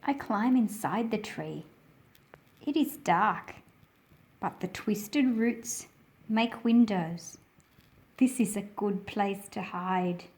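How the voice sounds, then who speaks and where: A woman's voice: mid-range voice, slow pace, long pauses, darkish tone. A woman reading and explaining a picture book aloud for young listeners. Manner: addresses young listeners with questions. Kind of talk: children's story read-aloud and explanation.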